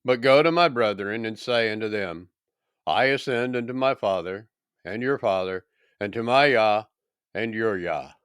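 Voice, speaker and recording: Risen holy teacher for scripture drama: calm authority, solemn, deliberate, smooth and resonant, very dry sound male reader. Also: fairly low pitch, dry recording, natural voice. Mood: gentle authority.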